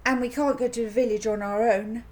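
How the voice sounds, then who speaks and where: A woman's voice: a little high, steady tone, a little fast, a little mellow, voice pushed up, natural voice. A woman voicing a small, chatty boy in a storybook with simple, matter-of-fact childish telling. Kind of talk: children's audiobook character voice. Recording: audible background noise.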